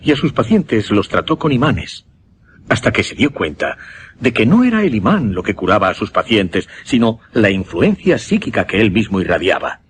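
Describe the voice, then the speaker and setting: An actor playing a solemn hypnotist recounting a macabre experiment in a Spanish radio drama, with a Castilian accent and measured, dramatic pauses. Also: male voice, lowish voice, very animated, slight background noise. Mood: lecturing, earnest.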